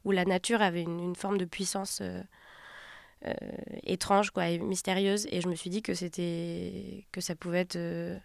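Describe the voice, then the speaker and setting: Smooth-voiced, reflective woman director. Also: lowish voice, flat delivery, no room sound, clean studio sound. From Turkey. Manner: reflective, halting, pauses to find words. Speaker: film director. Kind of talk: interview about her film.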